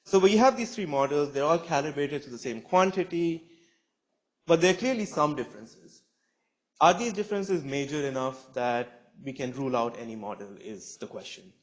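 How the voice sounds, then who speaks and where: A man's voice: a little high, moderate pace, rough recording. A man in his twenties, a student researcher presenting a scientific talk at a conference in a lecture room. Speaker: PhD student speaker.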